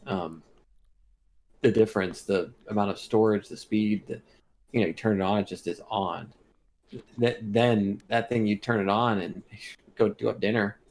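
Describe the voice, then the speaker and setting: A man's voice: lowish voice, fairly even intonation, normal speed, pauses often, darkish tone, a little hiss or hum. A middle-aged man co-hosting a podcast in casual chat, with a teasing, blunt, salty way of talking. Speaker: podcast co-host.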